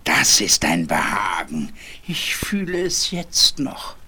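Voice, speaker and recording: An actor playing a grumbling, wistful old chained dog with a husky voice in a children's fairy-tale audio drama. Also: deep voice, wildly swooping pitch, slow pace, sharp tone, exaggerated voice. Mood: dreamy, relishing. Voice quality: husky, lost his fine voice.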